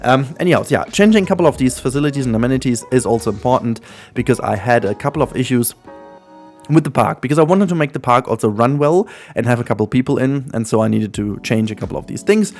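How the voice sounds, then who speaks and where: A man's voice: mid-range voice, expressive, quick pace. A man with a German accent and German as his first language, hosting casual gameplay commentary in a chatty, rambling way full of ums. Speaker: gaming channel host. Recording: faint music behind.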